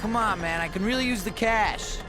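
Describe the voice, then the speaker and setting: An actor playing a brash, flippant mercenary who talks in slangy, rambling chatter in a video game cutscene. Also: male voice, sits high, normal speed, lightly acted. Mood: coaxing. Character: brash, flippant young male private military contractor, jokey buddy. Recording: loud cinematic music and gunfire behind.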